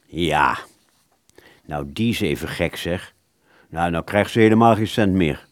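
A man's voice: deep voice, a little slow, no echo, studio quality. An actor playing a roguish, self-satisfied clarinettist, telling his own story theatrically in a radio play. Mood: bantering.

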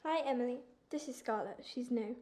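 A female performer playing a timid, kindly bullied orphan girl in a wartime drama, hesitant and gentle. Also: fairly high pitch, a little fast, slight background noise, lightly acted. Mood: subdued.

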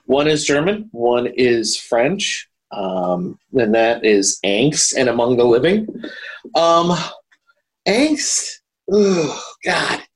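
A man talking casually as a podcast co-host, haltingly, often repeating and correcting his words. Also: medium pitch, expressive, husky, poor audio. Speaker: podcast co-host reviewing horror films. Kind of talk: casual film discussion.

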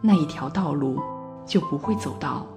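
A woman playing a bewildered Paris resident in a radio play monologue, telling her story deliberately with dramatic swells. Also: low voice, lively intonation, over music. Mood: determined, reflective. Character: woman living in Paris, bewildered, recounting a looping morning.

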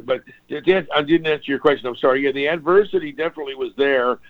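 An older man from Hawaii, a guitarist guest, telling his story in a relaxed, rambling way with restarts and apologies. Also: on the high side, quick pace, vocal fry. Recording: dull lo-fi sound.

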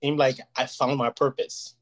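A man's voice: medium pitch, little pitch movement, normal speed. Earnest man, Portuguese his first language. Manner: earnest, rambling, keeps asking "you know what I mean". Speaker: interview guest. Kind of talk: casual interview chat.

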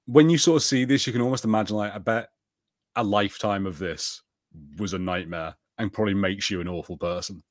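Low-voiced rambling male co-host. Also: fairly quick, dry recording, clean studio sound. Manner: rambling, many "like" fillers, animated. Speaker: podcast co-host. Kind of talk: casual chat.

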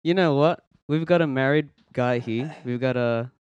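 A man, hosting a casual faith podcast in an earnest, halting way with many restarts. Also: medium pitch, a little mellow, clean tone, muffled recording. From Philippines, raised in Australia. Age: 20s. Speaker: podcast host. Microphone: podcast microphone.

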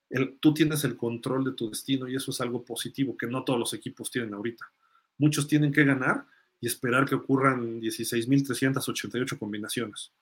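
A man hosting a live sports fan podcast, chatting casually and thinking aloud as he gives his takes and answers listener comments. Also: mid-range voice.

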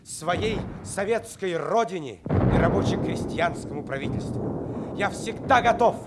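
A fervent young-army recruit in a radio drama, reciting ceremonially. His high voice is solemn and declamatory, set against very loud background noise. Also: lively intonation, unhurried. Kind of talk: radio play oath recitation. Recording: loud noisy ambience, vehicle-like rumble.

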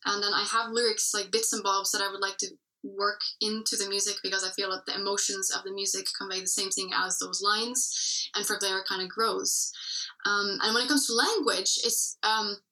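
A woman's voice: medium pitch, fairly even intonation, normal speed, bright, forceful tone, recorded from a distance, rough recording. A woman musician talking in a casual interview, reflective and searching for words with many fillers and restarts, speaking English as her first language. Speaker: musician guest.

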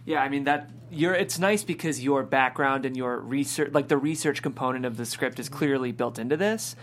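A woman's voice: a bass voice, normal speed. A woman hosting a casual interview, chatting conversationally as she sets up her questions. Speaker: interviewer, show host. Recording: noisy room with hum.

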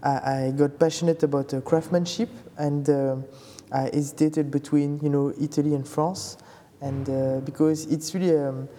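A man from Belgium answers interview questions haltingly, pausing often and circling back on his words. His voice is very dark and mellow, with a long reverb from the room. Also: on the high side, level tone. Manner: hesitant, many fillers, halting phrasing. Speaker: guest, brand founder. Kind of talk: casual interview. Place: fashion atelier.

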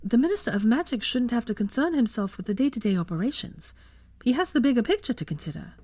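A clever, bossy young witch, as a woman reader puts her on speaks in a coaxing, arch way. Her voice sits noticeably higher than the narration, in a storybook reading. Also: very animated, fast, a little mellow, dry recording. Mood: persuasive. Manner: conciliatory and arch by turns.

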